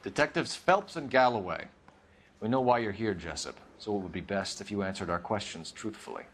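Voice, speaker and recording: An actor playing a stern, probing 1940s homicide detective in a video game, clipped, formal and accusatory. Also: male voice, lowish voice, expressive, a little fast, slight background noise. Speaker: police detective. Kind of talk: video game cutscene interrogation acting.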